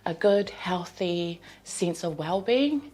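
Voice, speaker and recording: A young woman from New Zealand, a mental health worker, introducing herself and her role in an earnest, measured way with small fillers. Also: fairly low pitch, animated, a little mellow, slightly rough, rough recording. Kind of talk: scripted introduction to camera.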